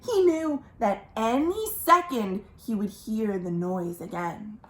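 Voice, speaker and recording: A woman reading a picture book aloud for young children as a storytime host, animated, with questions to listeners and dramatic pauses. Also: medium pitch, a little hiss or hum. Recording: roomy, echoing indoor sound.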